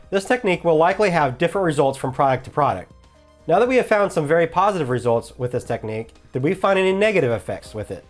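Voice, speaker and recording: A man reading scripted instructional narration for a product review in a measured, explanatory manner. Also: on the high side. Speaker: host of a product review channel.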